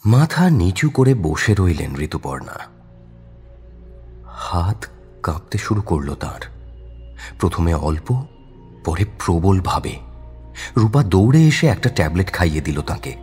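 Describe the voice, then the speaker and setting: A man's voice: deep voice, big pitch swings, slow, long pauses, a little mellow, faint music behind. A man narrating a crime audio story in a dramatic, scripted storytelling style.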